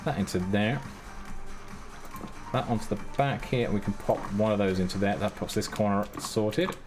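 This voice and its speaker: Male streamer, lowish voice, mumbles through each build step, music playing underneath. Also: steady tone, moderate pace. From Britain. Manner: muttering step-by-step to himself, casual and self-mocking. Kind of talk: live-stream gameplay commentary. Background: his own background music.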